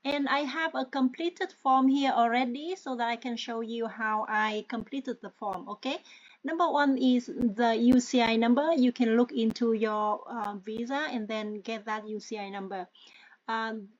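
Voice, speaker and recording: A woman's voice: a little high, steady tone, measured pace. Patient woman. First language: Vietnamese. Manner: patient, often checks in with the listener; hesitant, with many fillers. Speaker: tutorial presenter. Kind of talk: step-by-step screen-share walkthrough. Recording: dull, lo-fi dry voice-over.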